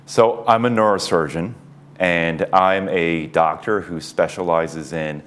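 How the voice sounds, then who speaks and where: A man in his forties, a neurosurgeon guest on an interview show, explaining things in a measured way with light chuckles. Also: low voice, steady tone, a bit bright. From United States East Coast. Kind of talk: casual interview talk.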